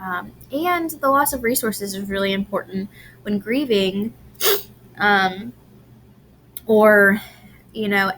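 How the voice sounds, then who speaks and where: A woman delivers a lecture at a very slow, deliberate pace, pausing often with "um" and restarting phrases. Her voice sounds slightly strained from allergies. A faint background noise sits under the recording. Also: mid-range voice, bright, forceful tone, poor audio. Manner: unhurried, many "um"s and restarts. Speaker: presenter on grief and incarceration.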